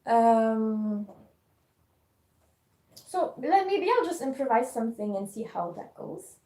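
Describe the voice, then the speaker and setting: French-accented woman, raised pitch, gravelly and mellow, chatting live on her phone in an echoey room. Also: sing-song. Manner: hesitant, many pauses and fillers. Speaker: musician live-streaming. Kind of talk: casual live-stream chat.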